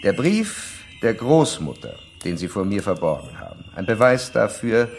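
A man's voice: on the low side, big pitch swings, normal speed, creaky, faint music behind. An actor playing a self-assured male psychoanalyst in a German radio play, didactic and pressing as he questions a patient. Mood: insistent, accusing.

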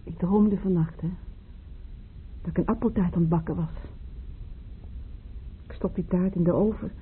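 An actress in a radio drama playing a grieving, weary psychiatric patient who speaks haltingly, fixated on her dreams. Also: on the low side, fairly even intonation, natural voice. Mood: anxious. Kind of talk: Dutch radio drama acting. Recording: tinny, band-limited, hissy radio play recording.

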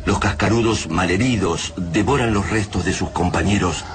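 Deep-voiced man narrating a radio drama. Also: over music. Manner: grave, measured storytelling.

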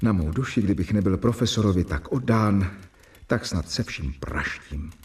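A man's voice: low voice, expressive, a little mellow. An actor playing an earnest, exasperated young doctor who narrates his own diary in a radio play. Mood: reflective. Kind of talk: radio drama with first-person narration.

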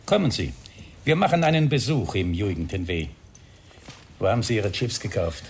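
An actor playing a sardonic, brusque police inspector in a German radio crime drama, with a dry, teasing, probing way of talking. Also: male voice, fairly low pitch, big pitch swings, normal speed, a little hiss or hum.

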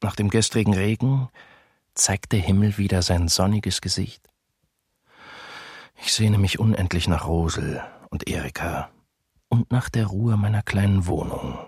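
Husky, low-voiced weary German soldier. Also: male voice, unhurried, darkish tone, no echo, clean studio sound, heavily acted. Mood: wistful, weary. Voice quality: husky, gravelly. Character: weary German soldier in his early thirties, homesick diarist. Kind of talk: radio docudrama diary reading.

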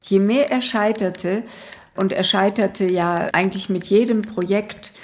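A woman speaking reflectively in conversational commentary, with a creaky voice. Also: medium pitch, fairly even intonation, a little slow, a little mellow, a little hiss or hum.

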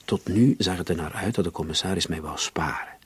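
A man's voice: low-pitched, a little fast, breathy edge, dry recording. An actor playing a troubled, guilt-ridden man under suspicion in a radio drama, speaking earnestly and defensively. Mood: worried.

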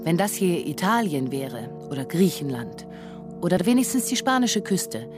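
A woman's voice: fairly low pitch, very animated, normal speed, over music. An actress playing an observant, melancholy woman who narrates what she watches, reflective, in a literary radio drama.

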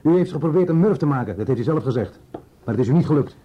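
A man's voice: on the high side, lively intonation, fast talker, dark tone, breathy edge, dull audio. An actor playing a stubborn, protective middle-aged father in a radio drama, curt, defiant and indignant.

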